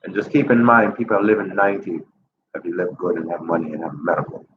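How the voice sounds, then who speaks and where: A man's voice: low voice, quick pace. A man in his fifties with a stuffy nose from a cold, talking casually to camera in his apartment, upbeat and chatty, his voice jumping up when he makes a point. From Jamaica. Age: 50s. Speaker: vlogger. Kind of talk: casual vlog talk to camera. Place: apartment indoors. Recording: roomy lo-fi audio with ambient noise.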